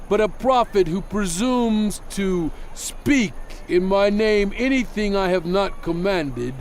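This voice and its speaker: A man playing a solemn lawgiver prophet in a dramatized scripture reading, declaiming deliberately to his people. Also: sits high, a little hiss or hum. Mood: commanding. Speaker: narrator voicing a prophet in a dramatized audio Bible. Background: background music.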